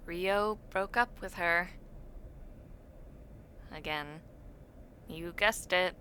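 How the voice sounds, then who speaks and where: A woman voice actor plays a teasing, friendly young woman from the dorm next door. Her voice is bright and swings widely in pitch as she chats casually to the listener in an audio roleplay. Delivery is unhurried, with drawn-out words and little murmured reactions. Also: slight background noise, heavily acted. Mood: sympathetic, exasperated. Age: young adult.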